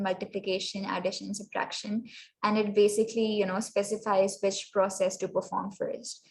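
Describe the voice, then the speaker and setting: A woman's voice: medium pitch, rough recording. Pakistani woman presenting a test-prep workshop. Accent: Pakistani English. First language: Sindhi. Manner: many fillers, switches into Urdu mid-sentence.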